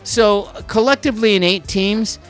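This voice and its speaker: Rambling middle-aged Canadian man, higher voice. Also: fairly bright tone, soft background music. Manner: rambling, digressive, quick to go off on tangents. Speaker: podcast host. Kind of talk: casual chat.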